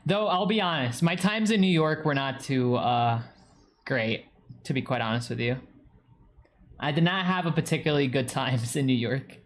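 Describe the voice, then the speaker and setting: A man's voice: mid-range voice, expressive, moderate pace. A young man with a New England accent, chatting casually with viewers on a live stream and rambling with lots of fillers. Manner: rambling, heavy use of "like". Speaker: streamer reading chat.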